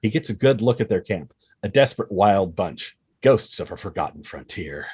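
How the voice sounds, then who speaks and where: Husky deep-ish guy reading out screenplay action lines like a narrator. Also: lively intonation, moderate pace, darkish tone. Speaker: stage-direction narrator.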